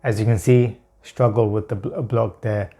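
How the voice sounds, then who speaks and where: A man who stutters, talking about his experiences with long blocks, halting pauses and repeated words. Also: fairly low pitch, fairly even intonation, dark tone, faint music behind. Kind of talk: personal talk to camera.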